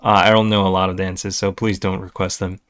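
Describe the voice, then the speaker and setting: A man's voice: deep voice, level tone, fairly quick, a bit bright, smooth. Playful man chatting on a livestream. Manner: playful, jokey, often chuckling. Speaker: podcast livestream host. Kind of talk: casual livestream chat, reading viewer comments.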